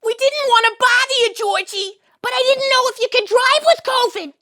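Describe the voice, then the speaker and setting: A woman voicing a shrill, fussy older mother who nags at full volume. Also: moderate pace, sharp tone. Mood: frantic. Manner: screeching, nagging.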